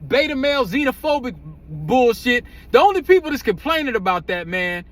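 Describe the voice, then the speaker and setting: Very high-pitched man, blunt and profane. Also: big pitch swings, brisk, fairly bright tone. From United States. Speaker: commentary host. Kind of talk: casual opinionated commentary.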